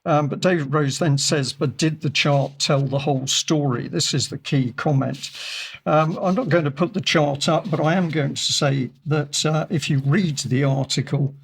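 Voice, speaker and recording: A man co-presenting a news show in a studio, speaking with a croaky voice in a measured, deliberate way. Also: a little high. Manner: deliberate, stresses key points slowly.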